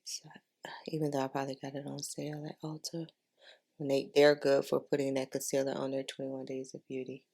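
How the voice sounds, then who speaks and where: A woman's voice: a bass voice, monotone, a little fast, vocal fry, mellow. A woman talking in a casual, chatty way as a beauty vlogger giving a makeup tutorial, informal and repeating herself for emphasis.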